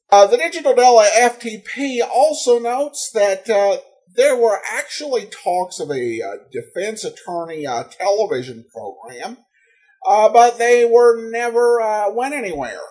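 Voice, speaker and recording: A man hosting a podcast with loose solo commentary, halting and full of ums. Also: fairly high pitch, darkish tone. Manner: halting, many ums and broken phrases. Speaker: podcast host.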